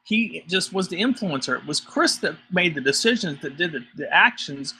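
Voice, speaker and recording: A man's voice: fairly high pitch, lively intonation, moderate pace, bright, forceful tone, a little hiss or hum, low-quality recording. A man in an online community discussion, speaking firmly and measuredly. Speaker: community member.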